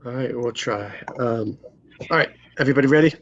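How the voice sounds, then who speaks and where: A man's voice: mid-range voice, brisk, muffled recording. A man chatting casually and politely on an online group call, deferring to the others as a table read participant.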